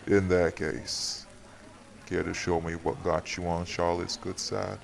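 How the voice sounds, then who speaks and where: Man playing a very deep, gravelly Southern vampire. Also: level tone, slow, heavily acted. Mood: gentle, flirtatious. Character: weary, courtly male vampire host, protective and firm. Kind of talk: audio roleplay drama acting.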